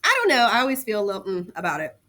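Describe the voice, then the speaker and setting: A woman's voice: mid-range voice, big pitch swings, very fast, pushed and bright. Casual, slangy woman teaching a class. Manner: informal and slangy, conversational asides. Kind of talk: casual lesson to students.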